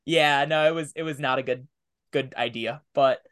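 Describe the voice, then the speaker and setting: A man's voice: mid-range voice, steady tone, moderate pace, a bit bright, clean tone. A man hosting a podcast interview in a casual, conversational style.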